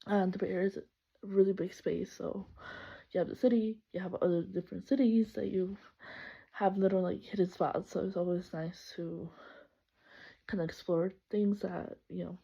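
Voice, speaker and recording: Upbeat young woman, warm muffled voice. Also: medium pitch, fairly even intonation, normal speed, creaky, husky. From El Salvador. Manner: upbeat and chatty, laughs often, many fillers and self-corrections. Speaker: student introducing herself. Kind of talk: class introduction video. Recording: slightly muffled lo-fi recording.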